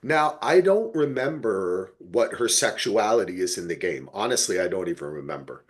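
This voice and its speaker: A man's voice: sits low, lively intonation, normal speed. A man hosting a casual podcast, explaining things in an emphatic, unfiltered way. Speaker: podcast host. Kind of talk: casual chat.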